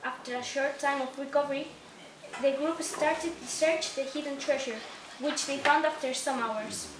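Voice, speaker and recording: A woman's voice: high voice, steady tone, moderate pace. A young woman reading a story aloud as narrator of a puppet show. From Spain. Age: young adult. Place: small indoor room at a day care centre. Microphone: distant camera microphone. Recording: roomy, distant camera mic, clear noise.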